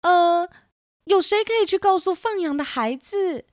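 A woman's voice: extremely high pitch, unhurried, dry recording, clean studio sound, pitched above the performer's own voice. A woman voicing an anxious, motherly sheep in a children's audio story, exclaiming and confiding. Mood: alarmed.

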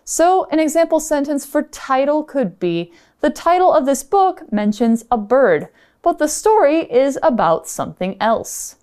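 A woman's voice: sits high, very animated, measured pace, darkish tone. A woman co-hosting an English-learning podcast, patiently explaining vocabulary in a casual chat. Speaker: podcast co-host teaching English.

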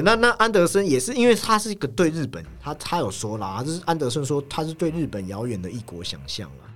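A man hosting a film discussion, explaining and analyzing in an explanatory way, often repeating words and phrases. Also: mid-range voice. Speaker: film podcast host.

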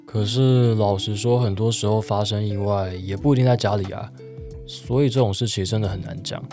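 A man's voice: fairly low pitch, steady tone, fairly quick, warm tone, natural voice. A young man acting in a radio drama as a hesitant, reserved man in his forties who is secretly in love. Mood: reserved, evasive. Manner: hesitant, wary. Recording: roomy echo, guitar music behind.

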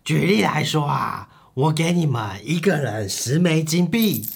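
A man's voice: fairly high pitch, lively intonation, unhurried, creaky, noisy background. Man playing a patient rich Babylonian mentor. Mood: questioning, instructive. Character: Arkad, richest man of ancient Babylon, patient teacher. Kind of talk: radio drama dialogue adapted from a comic.

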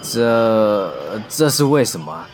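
Very low-voiced, troubled, earnest man. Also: animated, slow pace. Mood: surprised, uneasy. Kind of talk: audio drama acting. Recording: music under the voice.

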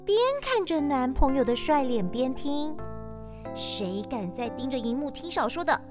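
High, girlish woman presenter. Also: animated, a little slow, music in the background. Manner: cute, girlish anime-style delivery. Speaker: show presenter. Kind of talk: playful scripted audio drama introduction.

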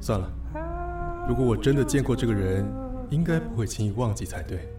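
An actor playing a courteous, reserved businessman in a Taiwanese Mandarin radio drama, speaking calmly, steadily and in few words. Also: medium pitch, brisk, natural voice. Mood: reserved, pensive. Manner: calm, terse, steady. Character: composed, courteous, reserved well-dressed businessman. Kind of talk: Mandarin radio drama acting with inner monologue. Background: background music.

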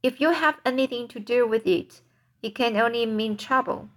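A woman's voice: on the high side, moderate pace, a bit bright, lightly acted. A woman voicing a gloomy, grumbling male earthworm in a storybook, muttering pessimistically.

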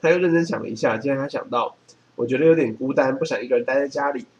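Fast-talking Taiwanese man. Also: mid-range voice, highs cut off. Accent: Taiwanese Mandarin. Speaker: podcast co-host. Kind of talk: casual chat, reading book passages aloud. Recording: faint background noise.

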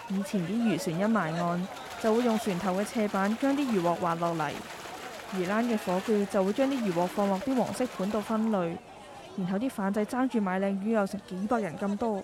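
Woman playing a concerned Cantonese-speaking market mother, mid-pitched. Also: darkish tone, a little hiss or hum, natural voice. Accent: Hong Kong Cantonese. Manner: concerned, reflective. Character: caring, world-weary middle-aged mother running fish stall. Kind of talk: scripted radio drama dialogue.

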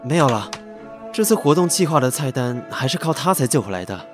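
A male actor plays an affectionate young pastry assistant in a radio play. He is an easygoing man with a mid-range voice and a Taiwanese accent, heard over clear background music. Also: medium pitch, very animated, fairly quick, natural voice. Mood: sincere, fond. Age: young adult. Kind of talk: audio drama acting with narration.

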